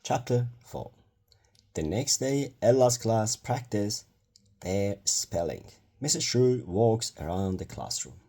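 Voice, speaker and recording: A man's voice: on the low side, animated, slow, warm tone, a little hiss or hum. A man reading a children's bedtime story aloud in a careful manner, stumbling now and then over words.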